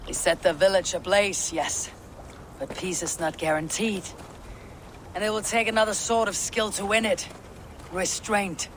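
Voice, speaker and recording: A level-headed Viking leader in a video game. The voice sits a little high, with terse, measured commands and a stern manner, over faint outdoor ambience. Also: male voice, fairly even intonation, fairly bright tone, a little hiss or hum. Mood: calm, firm.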